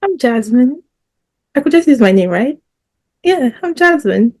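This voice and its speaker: A woman's voice: high voice, animated, a little slow, darkish tone. A woman from Ghana on a podcast panel, answering playfully and briefly. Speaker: podcast guest. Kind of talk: casual panel chat.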